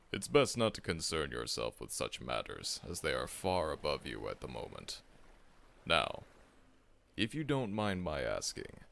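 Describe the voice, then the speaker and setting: A man performing a seasoned monster hunter with a very deep, gravelly voice. He talks slowly, with a lively rise and fall, and chuckles often as he teases a newcomer in an audio roleplay. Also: exaggerated voice. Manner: chuckling often, warm, teasing address to listener. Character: veteran male monster hunter, seasoned, friendly.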